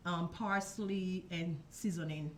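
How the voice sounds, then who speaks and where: A young woman from Haiti talks through her dishes in a soft, unhurried way, pausing often to find words. Her voice sits a little low, and a faint hiss of room noise runs under the studio recording. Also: steady tone. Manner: soft-spoken, explains step by step with many ums. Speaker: guest, food truck owner. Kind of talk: casual interview.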